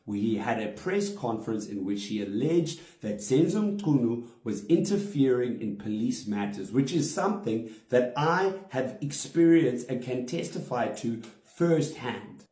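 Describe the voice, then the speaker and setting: A man's voice: mid-range voice, mellow. Indignant man, roomy sound. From South Africa. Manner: indignant, emphatic, stressing single words. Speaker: comedian. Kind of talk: direct-to-camera statement. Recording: roomy echo.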